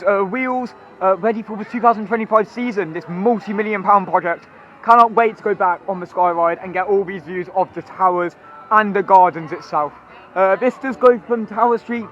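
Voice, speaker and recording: A hoarse young man, chatty and rambling as he walks through a theme park with his phone. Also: high-pitched, dull audio. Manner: chatty, rambling, excitable, many ums. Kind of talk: walking vlog commentary.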